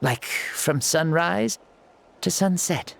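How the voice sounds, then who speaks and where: A man's voice: a little high, takes it slowly, creaky, fairly bright tone, slight background noise, heavily acted. An actor playing an ancient warrior god reborn in a boy's body, speaking in a theatrical, playful, chatty way for a video game. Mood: breezy, wry. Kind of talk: video game character acting.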